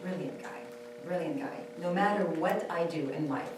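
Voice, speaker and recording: A woman with a low voice tells her own story in earnest, repeating key phrases to stress them. She is heard from far off in a strongly echoing hall, with room noise clearly audible behind her. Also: normal speed. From Saudi Arabia. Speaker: panelist. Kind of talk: personal testimony at a panel. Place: temple hall.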